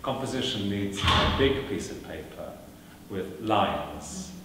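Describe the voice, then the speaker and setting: A man whose first language is Welsh lectures in a measured, reflective manner, pausing often with fillers and restarting his sentences. His voice sits a little low. He is recorded from afar in a large, reverberant hall with noticeable background noise. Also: animated. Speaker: performer giving a talk. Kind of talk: lecture answering audience questions. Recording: echoey hall recorded from a distance.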